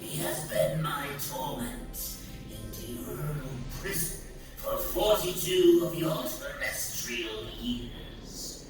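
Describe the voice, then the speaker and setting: A man's voice: a little high, pitched above the performer's own voice. A man voicing a grave, portentous luminous being from the cosmos in a horror audio reading, through a heavy echo effect. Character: luminous cosmic entity, solemn, otherworldly telepathic voice. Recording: distant, cavernous echo under loud music. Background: loud music.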